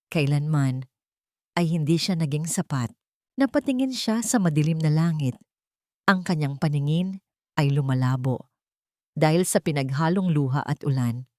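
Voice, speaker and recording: Female narrator for dramatic scripted fiction, slightly low register, dry studio-style sound. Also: dark tone, no echo. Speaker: storyteller.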